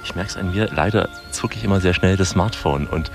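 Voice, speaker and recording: A man's voice: sits low, fairly quick, vocal fry, over music. A man from Germany, reporting and interviewing conversationally as a travel host.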